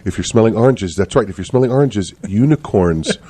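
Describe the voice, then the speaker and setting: Playful, lively male radio host. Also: lowish voice, brisk, slight background noise. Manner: jokey, playful. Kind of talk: casual live radio chat.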